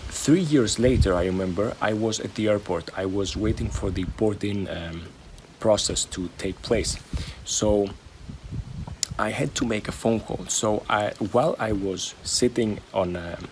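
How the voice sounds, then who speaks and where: Low-pitched man with halting phrasing. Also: darkish tone, slight background noise, rough recording. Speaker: video host and stuttering coach. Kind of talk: informal personal vlog talk, sharing advice.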